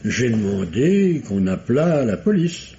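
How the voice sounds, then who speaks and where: An actor playing a solemn, wordy male doctor in a vintage radio mystery, with pompous, deliberate, literary delivery. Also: mid-range voice, sing-song, dark tone. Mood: grave, precise. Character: formal, verbose, solemn male country doctor. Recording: dull, noisy old radio recording.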